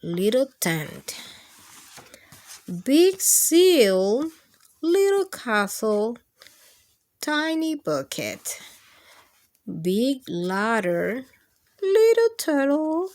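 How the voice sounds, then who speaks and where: A woman hosting children's storytime, reading picture books aloud in an expressive, sing-song way and putting questions to the children. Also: sits high, extremely slow, pauses often.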